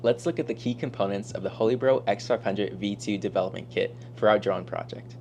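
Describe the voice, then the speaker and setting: A man's voice: lowish voice, a little fast, creaky, darkish tone. A teenage boy from Miami, Florida, reading a scripted technical presentation as a student. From Miami, Florida, United States. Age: teens. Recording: faint hum and noise.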